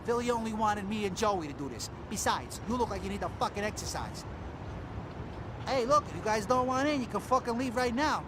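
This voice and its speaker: Bossy mob crew boss, very high and swooping, short-tempered, game cutscene, heavy noise behind. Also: male voice, animated, brisk. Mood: irritated, bossy. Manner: pushy, profane, barking orders. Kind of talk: video game cutscene acting.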